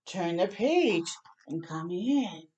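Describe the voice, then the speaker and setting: A woman voicing welcoming storybook creatures that invite a child in, with drawn-out words. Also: on the low side, animated, darkish tone, dull audio. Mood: inviting.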